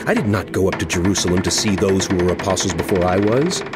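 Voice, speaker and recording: An actor playing an impassioned apostle in a dramatized audio Bible, speaking in a solemn, emphatic, oratorical way. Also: male voice, sits low, lively intonation, fast talker. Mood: earnest recounting. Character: impassioned apostle writing a stern, earnest letter. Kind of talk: dramatized scripture reading over music. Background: background music.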